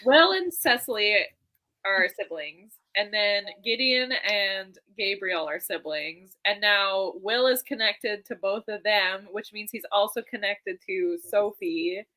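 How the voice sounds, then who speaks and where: A woman chatting casually as co-host of a book discussion, giggly and halting, often breaking off to ask questions. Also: medium pitch, sharp tone, low-quality recording. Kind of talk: casual chat.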